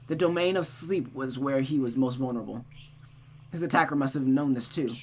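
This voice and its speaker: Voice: mid-range voice, fairly quick. Dramatic storyteller with a noticeable background hum. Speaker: storytime streamer. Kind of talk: reading a visual novel aloud with reactions.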